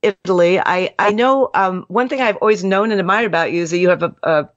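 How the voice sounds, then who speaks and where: A woman interviewing a longtime friend, enthusiastic and warm, often repeating words as she starts a sentence. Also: on the low side, fairly quick, no room sound. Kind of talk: conversational interview. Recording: dry, slightly muffled audio.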